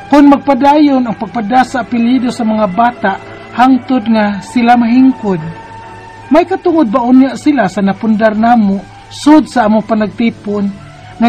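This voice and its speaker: A woman's voice: a little high, warm tone, lightly acted, low-quality recording. An actress with a Cebuano Filipino accent playing a troubled woman earnestly reading out her letter of questions in a radio drama. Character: troubled woman confiding her tangled love life. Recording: music under the voice. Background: background music.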